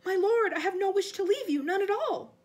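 A woman voicing a nervous, cowardly male servant in an audiobook, squeaky, timid and pleading as he fawns on his master. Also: expressive, brisk. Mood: frantic pleading.

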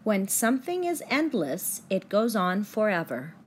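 A young woman teacher reading aloud to children with deliberate, expressive enunciation. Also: mid-range voice, a little hiss or hum.

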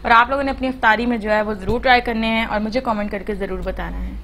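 Lady doing a cooking voice-over, kinda high voice, chatty, lots of fillers, bit of hum behind. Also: steady tone, fast talker, a bit bright. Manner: chatty, instructive, many fillers. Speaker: cooking channel host.

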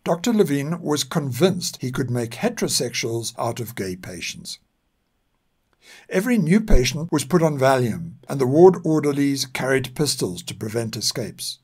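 Documentary narrator: older male, measured and serious, mid-pitched with an expressive rise and fall. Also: breathy edge. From South Africa. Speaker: narrator and podcast author. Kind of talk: scripted historical narration.

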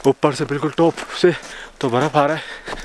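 A young man vlogging casually outdoors on a snowy mountain road, chatty and excitable, talking to a camera held at arm's length. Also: a little high, normal speed. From Pakistan. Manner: chatty, excitable, laughs often. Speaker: travel vlogger. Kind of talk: casual travel vlog. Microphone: handheld camera at arm's length. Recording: outdoor noise, wind and vehicles.

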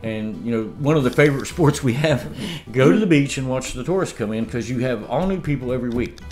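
A man's voice: mid-range voice, expressive, fast, darkish tone, faint music behind. A man in his fifties telling rambling stories in a casual chat, recorded at his dining table on a camera across the table. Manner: rambling storyteller with laughs and restarts. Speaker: homesteading vlogger. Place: home dining room, indoors.

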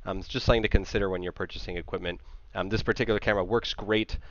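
A man, around his thirties, gives an informal technical slide presentation into a headset, explaining haltingly with frequent filled pauses. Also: deep voice, steady tone, no room sound, lo-fi sound. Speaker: conference presenter.

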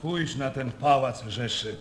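An actor playing an outspoken, talkative German officer in a Polish radio drama, with a declamatory yet conversational delivery. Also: male voice, mid-range voice, a little slow, slight background noise, close to an everyday voice. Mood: musing, outspoken.

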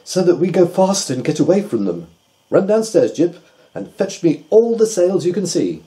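A man doing a fussy, high old doctor. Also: sing-song, moderate pace, warm tone, low-quality recording. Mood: urgent. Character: kindly, fussy old country doctor, male.

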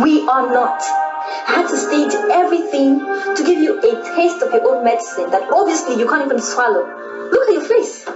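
Sassy Nigerian side chick, young and bold, dull sound with music underneath. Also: female voice, sits high. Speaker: actor. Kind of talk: Nollywood drama acting. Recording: dull echoey audio, music behind.

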